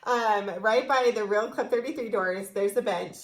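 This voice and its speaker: A woman's voice: medium pitch, normal speed, reverberant. A young adult American woman co-hosting a video in an informal, conversational way. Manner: informal, peppered with "like". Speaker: co-host of a theme park video channel. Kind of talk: casual chat.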